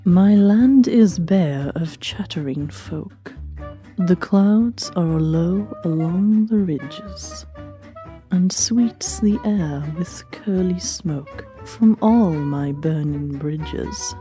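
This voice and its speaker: A man's voice: high-pitched, extremely slow, mellow. A man presenting a story show in a scripted, verse-reciting style. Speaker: presenter of a fan fiction reading show. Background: music behind the voice.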